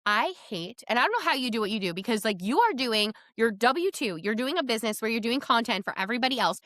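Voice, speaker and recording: A woman's voice: a little high, lively intonation, quick pace, fairly bright tone, no room sound, studio quality. A woman hosting a casual podcast chat, talking in a chatty, emphatic way and often saying "like". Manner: chatty, emphatic, peppers talk with "like". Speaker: podcast host.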